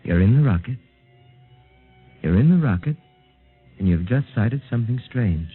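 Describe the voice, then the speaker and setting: An actor playing a calm, clinical doctor who speaks in a measured, authoritative way, in a 1950s radio drama acting style, heard through a tinny old radio broadcast. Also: male voice, medium pitch, husky, faint music behind. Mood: hypnotic. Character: calm, clinical middle-aged male doctor.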